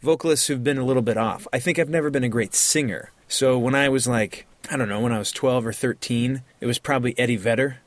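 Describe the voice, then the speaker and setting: A man's voice: mid-range voice, fast talker, hardly any pauses, no room sound. A man co-hosting a casual chat, with a dry, wry and teasing way of talking. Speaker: podcast co-host.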